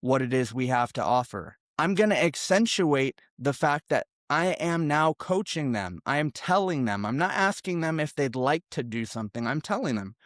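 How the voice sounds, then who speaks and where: A man's voice: medium pitch, big pitch swings, normal speed, hardly any pauses, a bit bright, no room sound. Emphatic man coaching sales, dry sound. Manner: emphatic, over-articulated, demonstrates example lines. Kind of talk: direct-to-camera sales coaching.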